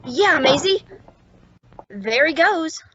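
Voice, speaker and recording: A woman's voice: sits high, lively intonation, takes it slowly, fairly bright tone, muffled recording, slight background noise, full character voice. A woman playing an eager, earnest, slightly stammering young bellboy in an old-time radio comedy. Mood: eager, helpful.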